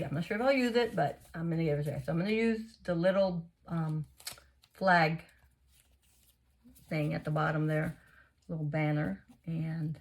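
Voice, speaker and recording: A woman hosting a casual craft tutorial, chatting through each step and a little hoarse and sniffly. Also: on the low side, darkish tone. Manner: chatty, narrates each step, gushes over results. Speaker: craft video host.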